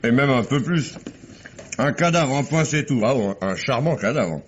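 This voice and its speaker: A man's voice: mid-range voice, expressive, lightly acted. A man playing a businesslike, dryly wry police commissioner in an old-style French radio mystery. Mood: wry, familiar. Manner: brisk questioning, dryly wry. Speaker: actor. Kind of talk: 1950s French radio drama acting. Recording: muffled, hissy old radio recording.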